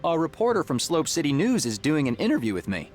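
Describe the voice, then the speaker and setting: Animated, earnest young male game hero. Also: on the high side, fast, slight background noise. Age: young adult. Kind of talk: adventure game dialogue and musing.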